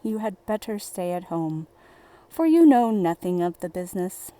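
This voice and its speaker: A woman's voice: on the low side, big pitch swings, moderate pace, warm tone, no room sound, pitched below the performer's own voice. A woman voicing a stern, dismissive father in a fairy tale, weary and admonishing.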